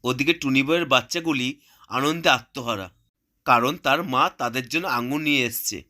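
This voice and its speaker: A man's voice: mid-range voice, normal speed, sharp tone. A man narrating a scripted cartoon story as the storyteller.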